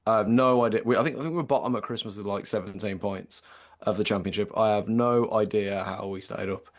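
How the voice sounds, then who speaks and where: A man in his late twenties with an English accent and a slightly croaky voice, co-hosting a casual football podcast and chatting with lots of fillers. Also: lowish voice, quick pace. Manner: chatty, many fillers and restarts. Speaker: podcast co-host.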